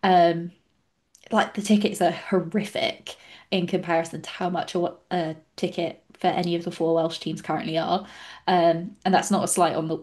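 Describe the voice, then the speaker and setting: A woman from England with an English accent speaks in a slightly low register. She argues her points casually and emphatically as an outspoken podcast panellist. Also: little pitch movement, moderate pace, darkish tone. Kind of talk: casual opinionated discussion.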